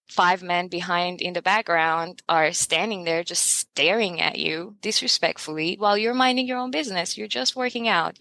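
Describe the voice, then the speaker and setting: A young adult woman from Iran, a podcast guest talking in casual interview chat, animated and emphatic, laughing often and asking pointed rhetorical questions. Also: on the low side, no room sound, rough recording.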